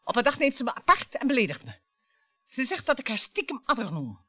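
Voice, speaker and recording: An actress in a radio play playing a bitter, provocative wife who dwells on words theatrically. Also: female voice, on the high side, big pitch swings, fairly quick, pushed and bright, no room sound, heavily acted. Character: bitter, taunting wife dredging up old family grievances.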